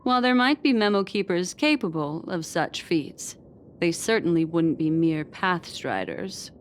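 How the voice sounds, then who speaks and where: Slow, knowing, theatrical game envoy. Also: female voice, fairly low pitch, lively intonation, a little hiss or hum. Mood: knowing, composed. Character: poised, needling female envoy and exposer of lies. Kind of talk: video game voice acting.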